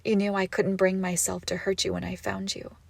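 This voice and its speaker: A woman voicing an obsessive, icy and menacing crime-boss ex-girlfriend in an intimate audio roleplay, speaking deliberately with swings between whispers and outbursts. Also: medium pitch, little pitch movement, vocal fry, darkish tone, no room sound. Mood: cold, threatening.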